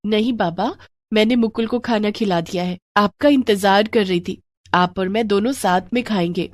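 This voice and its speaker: A woman playing a gentle, responsible daughter. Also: medium pitch, normal speed, no echo, natural voice. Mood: caring. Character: caring, responsible young daughter looking after her family.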